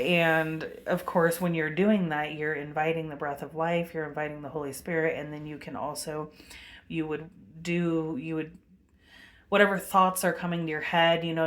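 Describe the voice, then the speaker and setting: Slow, rambling woman with a commanding voice. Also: on the low side. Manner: meandering, many fillers and pauses. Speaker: spiritual vlogger. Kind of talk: rambling spiritual monologue. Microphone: webcam.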